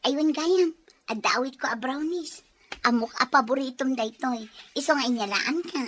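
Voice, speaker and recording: High-voiced friendly Ilocano wife played by a woman. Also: moderate pace, a bit bright, a little hiss or hum. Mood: friendly, generous. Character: cheerful married Ilocano woman, generous friend and homemaker. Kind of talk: acted family radio-style drama dialogue.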